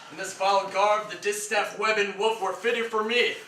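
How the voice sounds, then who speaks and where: An actor playing a grandiose, lovelorn legendary seducer, declaiming verse in a lofty theatrical manner on stage. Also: male voice, high voice, fairly even intonation, normal speed, sharp tone. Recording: distant mic, echoey room, clear hiss.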